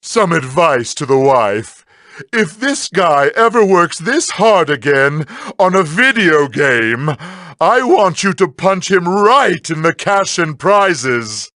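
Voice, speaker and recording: Theatrical high-pitched evil mad scientist. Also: male voice, big pitch swings, bright, forceful tone, no echo, clean studio sound, full character voice. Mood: mocking. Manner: pompous, mocking, drawn-out theatrical delivery. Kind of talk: scripted comedic in-character monologue.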